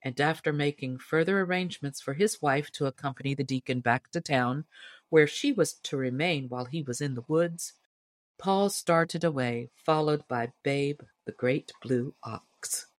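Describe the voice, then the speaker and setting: A woman's voice: sits low, moderate pace, no room sound, studio quality. A woman reading a storybook tale aloud as scripted narration. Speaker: audiobook narrator.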